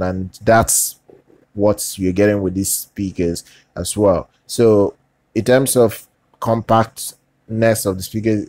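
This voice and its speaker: A man presenting unscripted product news as a channel host, in a halting way that often repeats and restarts phrases. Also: on the low side, mellow, no echo. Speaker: tech reviewer, channel host.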